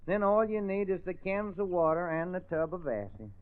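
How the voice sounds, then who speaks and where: An actor playing a gullible, apologetic country husband and farmer with a Southern accent, earnest and polite, in old radio drama style. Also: male voice, high-pitched, lively intonation. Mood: earnestly explaining. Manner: earnest, slow-witted, polite, folksy. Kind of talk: 1950s radio drama acting. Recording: tinny old radio broadcast recording.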